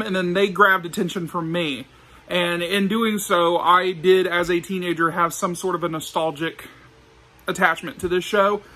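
A man's voice: sits high, bright, forceful tone. A young man hosting a casual vlog, talking to the camera like an enthusiastic fan. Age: young adult. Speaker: video host. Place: small indoor room with shelves of discs.

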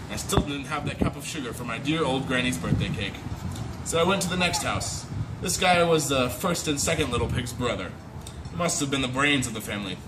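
A man's voice: medium pitch, close to an everyday voice. A man reading as a chatty, aggrieved storybook wolf who pleads innocence, in a folksy, emphatic way. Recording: noisy amateur phone video recording.

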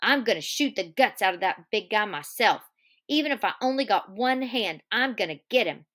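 A woman's voice: mid-range voice, lively intonation, moderate pace, lightly acted. A woman voicing a hot-tempered, vengeful young ranch man who barks threats and orders. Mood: angry. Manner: shouting threats, raised and forceful.

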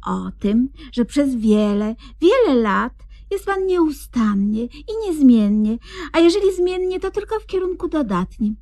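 A woman's voice: high-pitched, big pitch swings, unhurried, warm tone, no room sound, clean studio sound, full character voice. An actress playing a devoted, naive, fussing woman in a comedy radio play, speaking in a hesitant, coaxing, earnest way. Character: devoted, fussing, naive woman doting on her grumpy friend.

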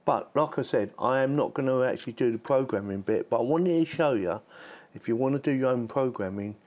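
A man's voice: mid-range voice, fairly quick, a little mellow. A man giving a casual, chatty hands-on product demonstration, informal and given to repeating himself. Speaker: product reviewer.

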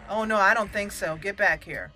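A woman's voice: medium pitch, normal speed, pushed and bright, faint music behind, poor audio. A woman hosting a casual let's play, chatty and reactive, thinking aloud as she plays. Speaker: gaming channel host.

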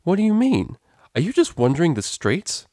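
Man acting out a proper female pony, storybook character, refined, caring, formal, raised pitch, dry sound. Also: wildly swooping pitch, normal speed, warm tone, no room sound. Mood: alarmed. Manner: prim, formal wording.

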